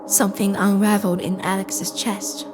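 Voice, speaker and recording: A woman playing a calm, impassive AI assistant, narrating a scripted audio drama in a measured, detached, deliberate way. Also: medium pitch, close to an everyday voice, low-quality recording. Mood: somber, measured. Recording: cinematic music behind. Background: cinematic score.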